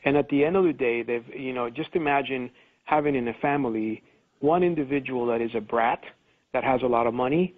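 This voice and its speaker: A man's voice: medium pitch, a little fast. Male professor explaining over a phone line. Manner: explanatory, confident. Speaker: guest criminology professor. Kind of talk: radio guest commentary.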